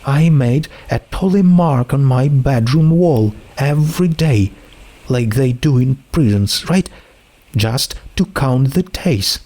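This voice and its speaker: Man voicing a troubled teenage girl, slow and halting. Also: medium pitch, animated, dark tone, pitched above the performer's own voice. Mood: urgent, distressed. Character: troubled, anxious teenage girl confiding a secret. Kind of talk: dramatic fan fiction reading.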